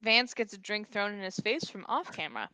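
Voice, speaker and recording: A woman with a bright, forward voice in a dry, close recording. She reads screenplay action lines in a playful, amused way, as the narrator of a casual table read. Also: mid-range voice, lively intonation, fairly quick, no room sound. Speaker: narrator reading stage directions.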